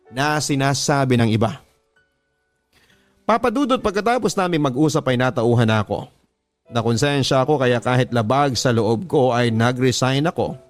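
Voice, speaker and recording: Filipino man narrating a confession over music. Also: mid-range voice. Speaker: radio drama narrator reading a listener's confession. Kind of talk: dramatized scripted narration of a love confession. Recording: music bed under the voice. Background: background music.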